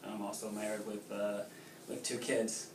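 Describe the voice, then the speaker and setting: A man of forty from Savannah answers hesitantly, filling his pauses with ums and uhs. The sound is roomy and distant, with faint noise behind. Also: fairly low pitch, monotone. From Savannah, Georgia. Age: 40s. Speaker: golfer. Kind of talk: casual interview answers.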